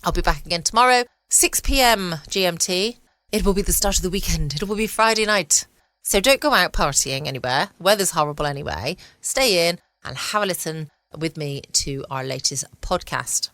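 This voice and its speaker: A woman's voice: fairly low pitch, moderate pace, a bit bright, no echo. A woman from the United Kingdom hosting a chatty late-night podcast, speaking straight to her listeners. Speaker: podcast host. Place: at home, dry close recording.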